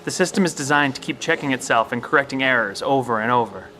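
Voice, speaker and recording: A man playing an earnest professor in a survival bunker, explaining things in a measured, careful way in an audio drama. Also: mid-range voice, pushed and bright, slight background noise, natural voice. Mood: explaining calmly. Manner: explanatory, hesitant, reasoning.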